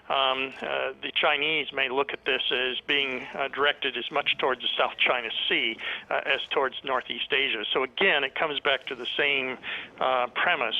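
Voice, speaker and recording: A man giving expert analysis over the telephone as an academic guest, measured and very halting, with frequent pauses. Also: mid-range voice, pushed and bright. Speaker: professor of international relations, expert guest. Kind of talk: live expert analysis by phone. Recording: dull band-limited phone line.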